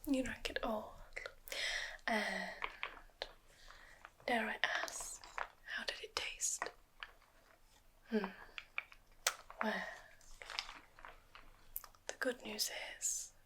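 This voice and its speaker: A woman's voice: a little high, steady tone, very slow, pauses often, poor audio. Woman doing a whispering sly forest witch. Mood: teasing. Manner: soft whispering, personal attention, dry wit. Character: sly, matter-of-fact female forest witch healer. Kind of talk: ASMR roleplay. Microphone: close-up ASMR microphone.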